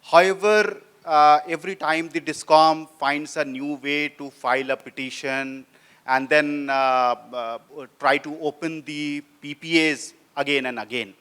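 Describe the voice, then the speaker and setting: A hoarse man, questioning a panel from the audience without a microphone, growing insistent. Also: on the high side, fairly even intonation, unhurried, a bit bright. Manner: pointed, increasingly insistent questioning. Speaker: audience member asking a question. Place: conference hall audience. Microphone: speaking without a microphone.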